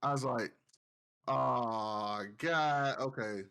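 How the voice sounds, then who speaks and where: A man's voice: medium pitch, very slow, bright, forceful tone, smooth. A man co-hosting a casual podcast, telling stories in a joking, slangy and profane way. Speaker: podcast co-host. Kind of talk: casual banter.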